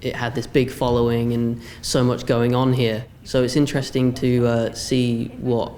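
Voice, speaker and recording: A young man with an English accent gives an interview. His voice sits at an average pitch, and he talks as a student voice actor reflecting on his work. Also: level tone, normal speed, a little mellow. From England. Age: young adult.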